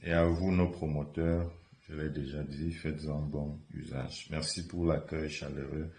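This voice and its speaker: A man gives a formal thank-you speech as a local leader, solemn and measured, pausing on fillers. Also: very deep voice, fairly even intonation, gravelly, a little mellow, echoey room, a little hiss or hum.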